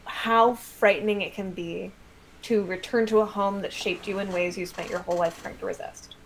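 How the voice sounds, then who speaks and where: An American woman chatting casually as a guest author, telling stories in an animated, self-deprecating way with some swearing. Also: mid-range voice, normal speed, slight background noise, rough recording. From United States. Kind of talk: casual livestream chat.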